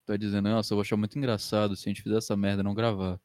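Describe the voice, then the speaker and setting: A man's voice: on the low side, level tone, rapid-fire, darkish tone. A twenty-year-old Brazilian man hosting a podcast as a casual chat with friends, slangy and foul-mouthed. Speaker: podcast host.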